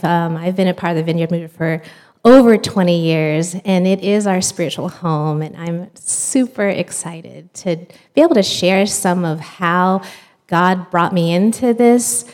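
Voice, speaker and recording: A woman's voice: medium pitch, darkish tone. A woman in her thirties from the United States, a pastor telling her faith story in a chatty, storytelling way with fillers and small laughs. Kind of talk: church talk, personal testimony.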